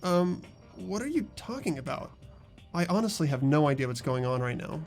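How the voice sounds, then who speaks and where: A man's voice: fairly high pitch, big pitch swings, normal speed, a little mellow, faint music behind. Man playing a bewildered, earnest teenage boy. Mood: puzzled.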